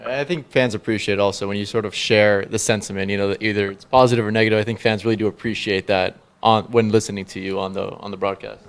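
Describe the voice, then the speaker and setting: A man's voice: lowish voice, level tone, quick pace, gravelly, hardly any pauses, smooth. A man hosting a sports podcast, asking questions in a polite, conversational way. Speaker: podcast host, interviewer. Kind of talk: casual sports interview.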